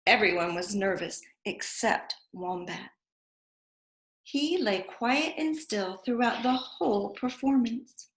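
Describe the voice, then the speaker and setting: A woman reading a picture book aloud to young children with expressive storytelling. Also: mid-range voice, slow pace. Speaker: preschool storyteller. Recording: echoey room.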